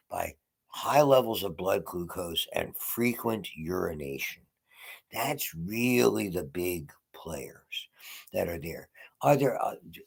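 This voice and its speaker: A man giving a physiology lecture from home, congested and often clearing his throat, with halting, restarting phrasing. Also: low-pitched, a little mellow. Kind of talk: unscripted lecture.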